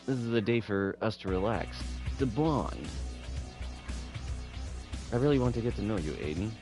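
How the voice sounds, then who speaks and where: A man voicing an earnest, curious visual novel hero who coaxes gently and muses to himself. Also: on the low side, expressive, moderate pace, over music. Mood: earnest, coaxing. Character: earnest, curious man courting an android, inwardly calculating.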